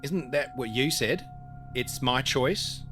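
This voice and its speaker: A man's voice: medium pitch, slow, over music, natural voice. A man playing a goading, evasive man feigning innocence in an audio drama. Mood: needling, evasive.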